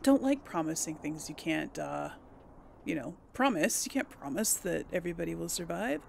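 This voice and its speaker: A woman's voice: on the low side, expressive, normal speed, gravelly, slight background noise. A woman giving casual role-play commentary on a video game, informal and musing aloud. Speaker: gaming channel host.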